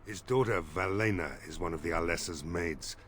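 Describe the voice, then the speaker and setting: An actor playing a weary, worried village mayor in a fantasy game, with game music behind him. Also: male voice, sits low, normal speed, fairly bright tone, a little hiss or hum. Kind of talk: video game voice acting.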